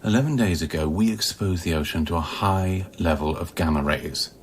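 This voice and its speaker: An actor in a radio play, playing a bitter, volatile space-station scientist who philosophizes intensely and haltingly, dropping at times toward a breathy near-whisper. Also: male voice, sits low, slight background noise, lightly acted. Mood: grave, uneasy. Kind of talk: dramatic radio play acting.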